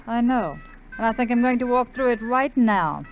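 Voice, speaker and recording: An actress playing a fed-up, sharp-tongued gambler's girlfriend in a 1950s radio drama, cool and sarcastic. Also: fairly high pitch, expressive, normal speed, soft background music. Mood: cold, scornful. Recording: dull vintage radio recording.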